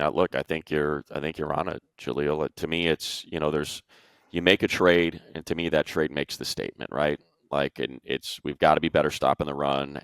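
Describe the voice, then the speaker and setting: A man's voice: very deep voice, clear, resonant tone, no room sound. A man casually talking sports as an analyst, repeating phrases for emphasis.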